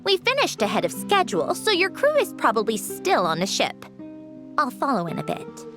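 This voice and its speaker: A woman's voice: a little high, wildly swooping pitch, normal speed, music in the background. A woman voicing a proud, guarded young woman in a video game, clipped, matter-of-fact and aloof. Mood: brisk, guarded. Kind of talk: English video game voice acting.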